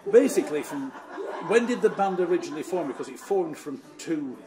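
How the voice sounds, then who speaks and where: A man's voice: a little high, lively intonation, fairly quick. Middle-aged male interviewer with music behind. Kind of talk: casual, chatty interviewing.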